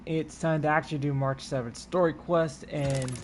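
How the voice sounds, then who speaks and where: A man's voice: a little high, fairly even intonation, normal speed, a little hiss or hum. A man chatting casually and informally as he streams a video game. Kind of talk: casual livestream chat. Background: game music.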